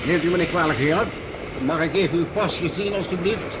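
Amid clear street noise, an actor plays a male checkpoint guard with a fairly high voice. He is deferential and chatty, speaking radio drama dialogue. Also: moderate pace, natural voice. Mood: polite, chatty. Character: polite, talkative male subway checkpoint guard. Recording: noisy street and traffic ambience.

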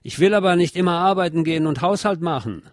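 A man's voice: sits high, animated, fast, no echo, studio quality, pitched above the performer's own voice. A man voicing a timid young factory apprentice who longs for freedom, reading plaintive quoted song lines within his narration. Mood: plaintive protest.

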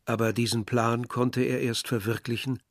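An actor playing a reflective village man, narrating a literary radio play in a measured, bitter tone. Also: mid-range voice, flat delivery, no room sound, natural voice. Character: reflective Algerian village man recalling war and dead brother. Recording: dry studio, faint music behind.

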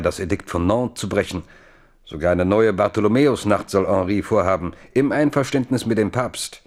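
A solemn chronicler in a radio drama. His voice is deep, and he narrates history deliberately, with a dramatic weight to the phrases. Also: a little mellow. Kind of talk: scripted radio play narration.

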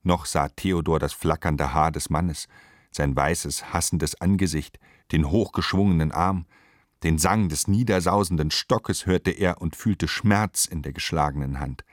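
A man's voice: low voice, dry recording, studio quality. A man narrating a literary radio play from a prepared script.

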